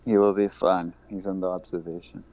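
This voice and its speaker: Deep-voiced male tabletop player. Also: little pitch movement, fairly quick, a little mellow. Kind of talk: in-character tabletop play.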